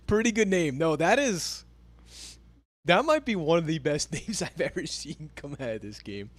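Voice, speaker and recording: A man's voice: a little high, expressive, a little slow, no echo. An American man chatting casually on a live gaming stream, laid-back and laughing often as he reads chat. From United States. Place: home near a big city.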